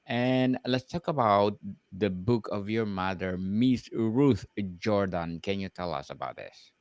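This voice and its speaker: Slow, low-voiced polite podcast host. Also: male voice, dry recording, studio quality. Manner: formal and polite, addresses guest as mister. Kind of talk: interview hosting.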